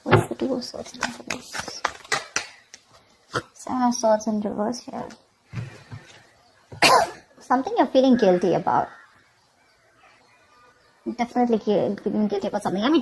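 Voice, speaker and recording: A woman's voice: medium pitch, moderate pace, pauses often, heavy background noise. A woman giving an improvised tarot reading, speaking conversationally to the viewer and often checking in with little tag questions. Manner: conversational, often checking in with okay and you know. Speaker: tarot reader and channel host.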